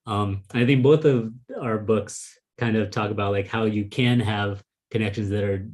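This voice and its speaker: A man's voice: lowish voice, fairly even intonation, normal speed, darkish tone. A man whose first language is Vietnamese, talking thoughtfully as an interviewer over a video call, with lots of "like" and "um". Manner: thoughtful, with frequent "like" and "um" fillers. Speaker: interviewer, fellow author. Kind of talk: casual conversation.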